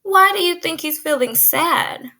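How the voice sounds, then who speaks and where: A woman pre-K teacher giving an expressive read-aloud lesson, drawing out key words and putting guiding questions to young listeners. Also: very high-pitched. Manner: drawn-out emphasis on key words.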